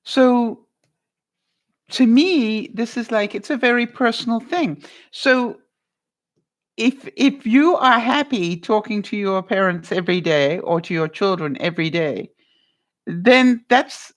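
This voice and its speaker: A woman vlogger chatting casually on a livestream, answering viewers by name, lively and quick to laugh, with a loud voice that carries. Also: a little high. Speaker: vlogger answering live chat.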